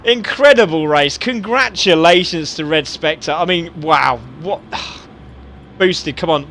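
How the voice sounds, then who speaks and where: A man giving excited live commentary on a sim race, often shouting and laughing. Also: on the high side, slow pace, slight background noise.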